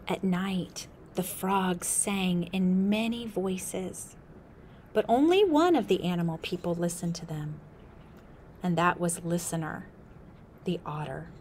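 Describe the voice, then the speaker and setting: A woman in her thirties reads a picture book aloud, slow and expressive, sometimes dropping to a whisper, indoors on a close camera mic. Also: on the low side, a little hiss or hum. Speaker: storyteller. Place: indoor room with a window.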